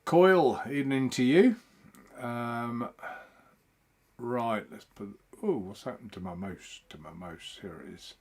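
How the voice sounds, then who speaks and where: A man's voice: medium pitch, measured pace, a little mellow, slightly rough. A man hosting a casual live stream from home, chatting in a rambling way and greeting viewers by name.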